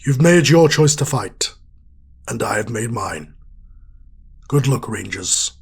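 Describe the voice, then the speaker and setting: An actor playing a weary, stern old warrior mentor in an audio drama, solemn and deliberate, with a raspy voice. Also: male voice, mid-range voice, expressive, heavily acted. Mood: solemn, resigned. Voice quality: husky, raspy.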